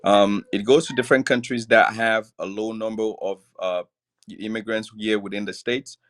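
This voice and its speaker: A man in his forties from Cameroon with a Cameroonian accent, chatting as a podcast guest, relaxed and laughing easily between filler pauses. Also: fairly low pitch. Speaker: podcast guest, clothing brand founder. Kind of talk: casual interview chat.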